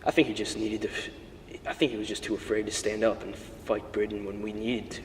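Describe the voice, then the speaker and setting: A man's voice: lowish voice, little pitch movement, brisk, close to an everyday voice. A teenage boy playing earnest historical characters in an amateur puppet show, reciting scripted lines somewhat stiffly. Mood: earnest, argumentative. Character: opinionated questioner, later a modest, honorable colonial gentleman. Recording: roomy reverberant recording with background hiss.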